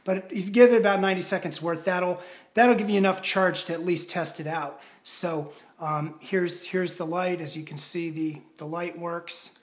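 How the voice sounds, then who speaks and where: A man giving a casual product demonstration in a measured, explanatory and somewhat hesitant way. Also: high-pitched, fairly even intonation. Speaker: product reviewer.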